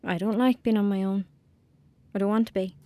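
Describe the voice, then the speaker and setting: A woman's voice: mid-range voice, steady tone, a little fast, dark tone, no room sound, natural voice. A woman with an Irish accent playing a lonely, imaginative young country girl in a naturalistic audio drama, youthful and colloquial. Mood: glum, wistful. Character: lonely, anxious young girl in rural Ireland, sullen and imaginative.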